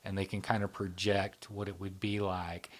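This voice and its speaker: A man in casual interview conversation, talking in a relaxed, rambling way with many fillers and restarts. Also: low voice, normal speed. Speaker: channel founder, interviewee.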